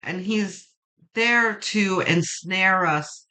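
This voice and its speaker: A woman with a low voice that turns gravelly when quiet, slowly and reassuringly reading tarot cards and thinking aloud. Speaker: tarot reader.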